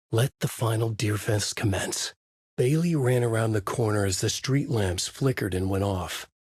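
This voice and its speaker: Haunted writer, low husky voice, grave noir horror narration, measured and dramatic, video game, close dry sound. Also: male voice, a little mellow, no echo. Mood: ominous, grave. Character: brooding, haunted male novelist narrating his horror story. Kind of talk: scripted noir horror narration, inner monologue.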